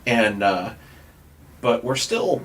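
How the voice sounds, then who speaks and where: A man's voice: medium pitch, animated, takes it slowly, a bit bright, slight background noise. A man with an American accent hosting a podcast in casual, rambling chat peppered with "like". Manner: informal, rambling, filler-heavy with "like". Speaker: podcast host.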